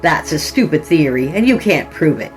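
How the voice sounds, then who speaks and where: A woman's voice: low-pitched, big pitch swings, moderate pace, faint music behind, low-quality recording. Woman reading as a scornful, evasive deadbeat man. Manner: dismissive, evasive, sardonic. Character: defiant, scornful deadbeat man dodging accusations. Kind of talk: dark comedy storybook dialogue.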